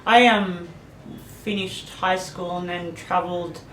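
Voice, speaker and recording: A woman hosting a casual interview, friendly and chatty, quick to laugh. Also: on the low side, fairly even intonation. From Netherlands heritage via father, raised abroad. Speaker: podcast host. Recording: echoey room with faint noise.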